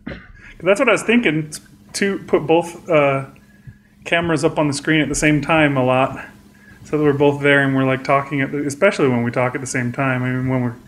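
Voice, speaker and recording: A man's voice: fairly high pitch, steady tone, brisk, fairly bright tone, slight background noise. A man co-hosting a podcast in casual chat at home, talking in a rambling, easygoing way and laughing often. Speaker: podcast co-host. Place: home room with plate on wall.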